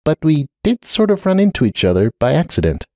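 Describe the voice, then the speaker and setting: Guy doing nervy worn-out pony wizard in an audiobook, higher pitch, awkward and hesitant, very dry sound. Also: wildly swooping pitch, a little fast, darkish tone, dry recording. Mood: tired, hedging. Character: weary, anxious young male pony wizard.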